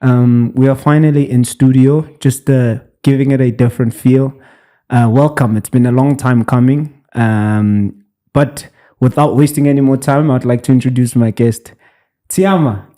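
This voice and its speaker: A man's voice: mid-range voice, steady tone, normal speed, mellow. A man hosting a podcast in a studio, chatty and teasing, with frequent fillers as he asks questions. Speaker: podcast host. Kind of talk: casual interview.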